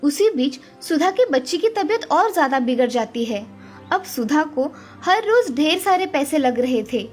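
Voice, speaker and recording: A woman's voice: sits high, very animated, a little slow, faint music behind. A woman narrating a scripted children's story as a storyteller.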